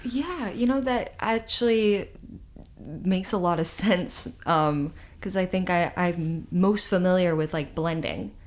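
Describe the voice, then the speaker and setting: A woman's voice: on the low side, slow pace. A young woman from California, a musician guest, talking in a casual, upbeat way with lots of filler words. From California, USA. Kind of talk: casual interview chat.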